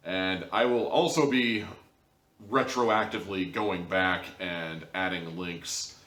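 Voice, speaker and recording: A man's voice: deep voice, fairly even intonation, takes it slowly, fairly bright tone. A man talking casually as a reviewer, chatty and informal, with emphatic asides. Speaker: host and reviewer of audio dramas. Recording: roomy, echoey sound.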